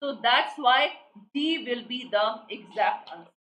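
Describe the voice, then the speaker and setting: An Indian English woman tutor runs a live online class, repeating each question and pressing students for the answer. Her voice sits a little high. It is recorded in an echoey room with faint hiss behind it. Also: animated, unhurried, fairly bright tone. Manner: repetitive, prompting students for answers.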